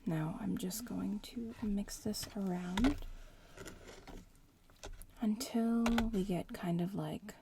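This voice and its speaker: A woman playing a bratty young witch in training, whispering breathily in a hushed ASMR roleplay. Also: medium pitch, gravelly, background noise. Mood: calm, playful. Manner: slow, hushed, unhurried, murmuring.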